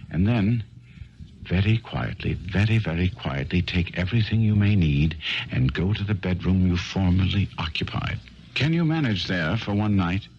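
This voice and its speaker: An actor playing a shrewd, self-assured detective in a 1970s radio drama, speaking with precise, deliberate authority. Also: male voice, deep voice. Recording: muffled, noisy old radio broadcast.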